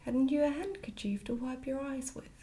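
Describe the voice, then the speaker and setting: A woman voicing a very old, kindly, gently chiding lady in a soft, whispered ASMR storybook reading. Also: sits high, moderate pace, lightly acted. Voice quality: sweet, soft.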